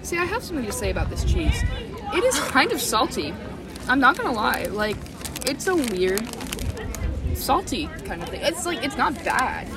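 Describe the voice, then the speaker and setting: A woman's voice: high voice, big pitch swings, moderate pace. A young woman chatting casually and playfully on a vlog, rambling with jokes and giggles while outdoors in a city. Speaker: vlogger. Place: outdoors in a busy urban area. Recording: crowd noise, distant mic.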